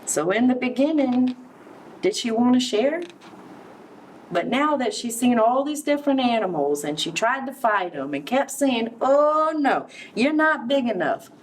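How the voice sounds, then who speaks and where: A woman reading a picture book aloud to young children, expressively, pausing to explain and ask questions. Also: a little high, expressive. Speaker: children's story reader, early education center director. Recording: distant mic with background noise.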